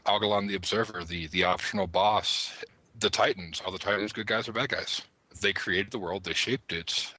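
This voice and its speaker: A man's voice: on the low side, fairly even intonation, moderate pace, sharp tone, dry recording, poor audio. A man speaking as a podcast panelist in a casual discussion of game lore.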